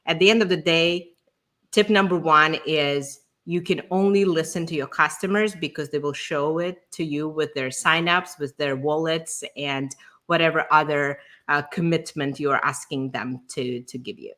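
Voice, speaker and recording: Low-voiced woman, bright and clear-cut tone. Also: normal speed. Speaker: show co-host and startup founder. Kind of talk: conversational business advice on a live stream.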